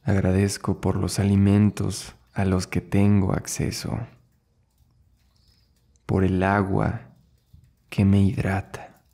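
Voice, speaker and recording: A man with a low, gravelly voice guides a meditation. He speaks very slowly and soothingly, in short phrases separated by long pauses. His delivery stays very even in pitch. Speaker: yoga and meditation instructor, host.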